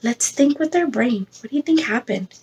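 A woman's voice: a little high, expressive, moderate pace, a little mellow, slight background noise. A woman in her thirties, a preschool teacher reading a picture book aloud to young children, expressively, coaxing them with questions and prompts. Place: indoor room against a plain textured wall.

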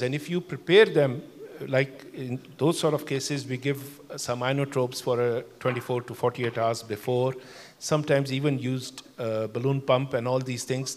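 An older man, a cardiologist on a conference panel, explaining cases in a measured way with many fillers. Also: mid-range voice. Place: large conference hall. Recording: long hall reverb.

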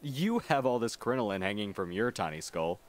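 A man's voice: fairly low pitch, lively intonation, fairly quick, natural voice. A man playing a snarky, theatrical young goth art student in a comic ASMR roleplay.

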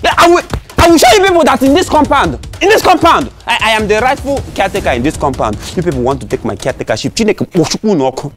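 Man as a spiteful caretaker; stammering taunts, lively pitch, light music bed. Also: rapid-fire. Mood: taunting. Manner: mocking, stammering, with Igbo exclamations. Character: taunting male compound caretaker, boastful and spiteful. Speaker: compound caretaker. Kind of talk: comedy skit acting.

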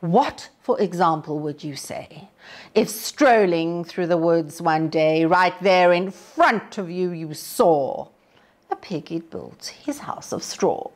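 A woman storyteller reading a rhyming children's story aloud, dramatic and at times hushed. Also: on the low side, lively intonation, takes it slowly.

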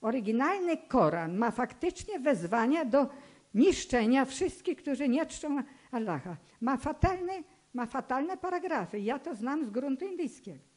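Elderly woman with a highish voice, earnest speaker. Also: sing-song, darkish tone. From Poland. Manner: earnest, admonishing, deliberate with repeated phrases. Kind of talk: public talk, personal testimony. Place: indoor hall with stage and seated audience. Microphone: microphone on a stand, close to the mouth.

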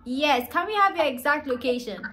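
A woman's voice: high voice, expressive, a little fast, bright, forceful tone. A young woman in her twenties with a Ghanaian accent, making a polite but insistent customer-service style phone call at arm's length from a phone mic in a living room. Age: 20s. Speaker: prank caller, vlogger. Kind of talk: phone prank call posing as a delivery service. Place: small living room, indoors.

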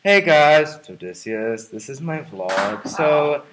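A man's voice: mid-range voice, lively intonation, slow pace, fairly bright tone, a little hiss or hum. A man as an arrogant, self-adoring ancient hero vlogging to fans, casual and smug, filler-heavy chatter. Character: vain boastful male Greek hero turned vlogger. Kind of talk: comedic parody vlog.

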